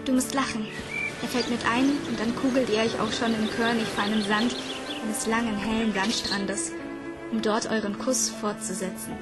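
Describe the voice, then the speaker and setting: A woman reading a scripted audiobook story in a dreamy, deliberate manner. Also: on the high side, fairly even intonation. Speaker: narrator. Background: background music.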